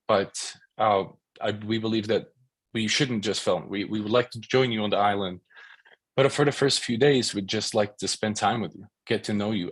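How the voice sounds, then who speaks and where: A man from the Czech Republic, a filmmaker speaking on an online panel, halting with many restarts and repeated words. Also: on the low side, slightly rough, no room sound. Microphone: video call.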